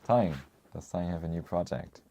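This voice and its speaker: A man's voice: sits low, gravelly, dark tone, slight background noise. A man co-hosting a casual chat, reading viewer comments, rambling with frequent chuckles. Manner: rambling, chuckles often, restarts. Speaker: co-host.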